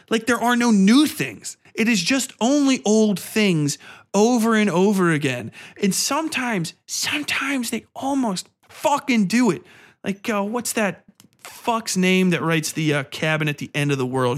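Higher-pitched man, profane and sardonic. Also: sing-song, measured pace. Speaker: podcast host. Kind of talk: casual opinionated rant.

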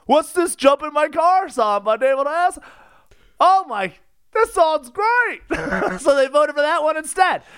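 A man co-hosting a podcast in casual, jokey chat, reacting in an excitable way. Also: very high-pitched, measured pace, no echo, clean studio sound. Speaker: podcast co-host.

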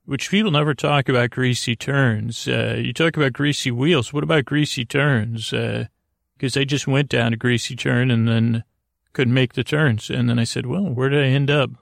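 A man with a creaky voice hosting a bedtime podcast, gently meandering and halting as he keeps interrupting himself. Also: mid-range voice, fairly even intonation, no room sound, studio quality. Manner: gentle, lulling. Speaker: podcast host. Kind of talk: rambling bedtime monologue.

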